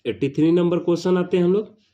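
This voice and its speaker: A man's voice: high-pitched, fast, dark tone, muffled recording. A man teaching an exam-prep lesson, reading out questions and answers in a didactic, repetitive way. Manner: didactic, repeats phrases for emphasis.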